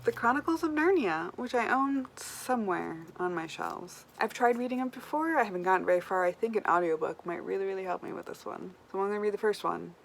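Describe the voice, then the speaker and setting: A woman with a mid-range voice talks informally to camera as a book vlogger. She is chatty and thinks aloud as she goes. There is clear background noise and room ambience around her voice. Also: medium pitch, expressive, fairly quick, hardly any pauses, poor audio. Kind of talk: casual chat to camera while playing a reading-list game.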